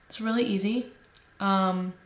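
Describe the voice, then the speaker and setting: A woman's voice: medium pitch, fairly even intonation, measured pace. A woman hosting a home cooking vlog, chatting casually and rambling a little, sounding nasal while she gets over a head cold. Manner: chatty, rambling, laid-back with many fillers. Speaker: home cooking channel host. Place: home kitchen. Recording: echoey room, faint background noise.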